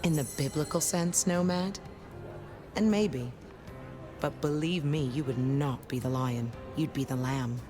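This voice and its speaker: An actress playing a sardonic, predatory, flirtatious vampire in a video game, sly and taunting. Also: female voice, low voice, measured pace, over music.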